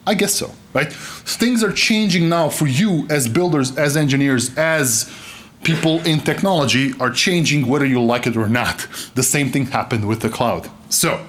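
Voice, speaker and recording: Man in his thirties, keynote speaker; voice a little high, very lively delivery, jokes with the crowd. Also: a little hiss or hum. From Serbia. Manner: animated, joking, gestures broadly. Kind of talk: conference keynote talk. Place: conference stage, indoors.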